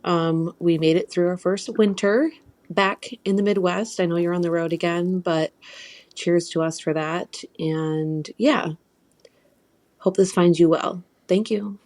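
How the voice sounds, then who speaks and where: Low-voiced American woman leaving a message. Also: steady tone, measured pace, darkish tone. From Michigan, United States. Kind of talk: casual, conversational voice message.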